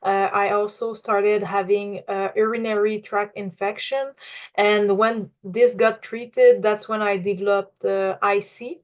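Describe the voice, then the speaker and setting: A young woman in her twenties speaks English with a Quebec French accent, her native tongue showing as she searches for words. She talks in a flat, even tone, full of "uh" and "um" and small restarts. The audio is a little dull and lo-fi. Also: mid-range voice, measured pace. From Quebec, Canada. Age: 20s. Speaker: client giving a testimonial. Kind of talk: unscripted personal testimonial.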